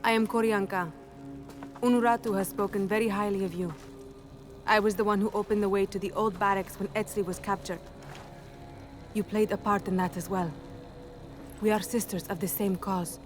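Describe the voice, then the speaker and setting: An actress playing a proud, duty-bound rebel warrior who speaks formally and solemnly in a video game cutscene. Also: female voice, mid-range voice, steady tone, normal speed, long pauses, close to an everyday voice. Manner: formal, declarative. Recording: music under the voice.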